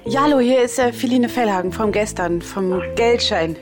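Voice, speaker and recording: A woman's voice: a little high, normal speed, music in the background. A young woman in casual unscripted conversation, speaking in a polite, tentative, questioning way as an interviewer. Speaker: interviewer and reporter.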